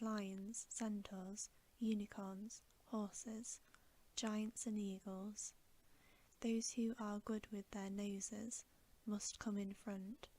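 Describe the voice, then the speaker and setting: A woman plays a noble storybook lion in command. Orders come measured and slow, in a soft, mellow whisper with little rise or fall. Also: mid-range voice. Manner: measured, authoritative instructions. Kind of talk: whispered ASMR storybook reading.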